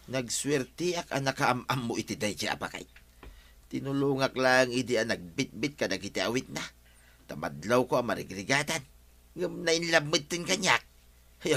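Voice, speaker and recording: Bright-voiced henpecked husband played by a man. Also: medium pitch. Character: henpecked husband, a hard-drinking village worker. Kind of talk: comedy drama acting.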